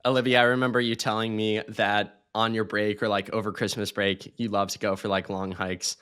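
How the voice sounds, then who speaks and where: A man hosting a podcast, asking questions and telling stories in a relaxed, rambling way. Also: on the low side, brisk, clear, resonant tone, dry recording, clean studio sound. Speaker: podcast host. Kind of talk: casual interview.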